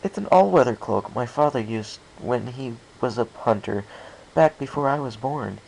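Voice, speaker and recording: A man's voice: mid-range voice, animated, normal speed, vocal fry, darkish tone, pitched above the performer's own voice, rough recording. A man playing an awkward, polite, easily flustered young male pony with an apologetic manner.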